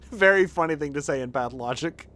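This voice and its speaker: A man giving casual livestream game commentary in an animated, sweary manner. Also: a little high, brisk, faint music behind. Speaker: game streamer.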